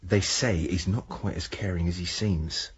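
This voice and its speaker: An actor playing a friendly male village pal in a radio drama, chatty, tactful and gently teasing. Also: sits low, steady tone, measured pace, slightly rough, highs cut off, exaggerated voice. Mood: teasing, cautioning.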